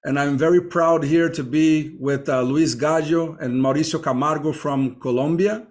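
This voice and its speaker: A man hosting a podcast interview, introducing his guests warmly and asking questions with many hesitant fillers. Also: on the high side, fairly even intonation, moderate pace. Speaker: podcast host.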